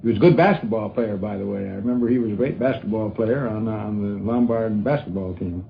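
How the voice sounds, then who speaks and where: A man's voice: lowish voice, a little fast, creaky, slight background noise. An older, educated man with an American accent, reminiscing hesitantly in a dull 1950s radio interview. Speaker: interviewee, university academic. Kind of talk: unscripted reminiscing.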